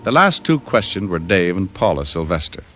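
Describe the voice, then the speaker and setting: Casting: hard-boiled American radio gumshoe, lowish voice, very animated delivery, wry slang, vintage recording with music. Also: male voice, moderate pace. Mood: matter-of-fact narrating. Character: wry, streetwise male private detective. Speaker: lead actor and narrator of a detective series. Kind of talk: old-time radio detective acting, hard-boiled narration.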